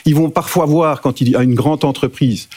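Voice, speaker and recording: A man speaking as a panelist in a televised debate, arguing emphatically and pushing his voice up to sharp peaks. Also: fairly high pitch, steady tone, moderate pace, slightly rough.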